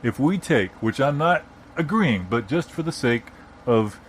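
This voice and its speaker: A man playing a pedantic, argumentative debater in an improvised audio comedy, restarting his points haltingly and insistently. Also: medium pitch, expressive, slight background noise, lightly acted. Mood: indignant, pedantic. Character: indignant, pedantic male debater with muddled logic.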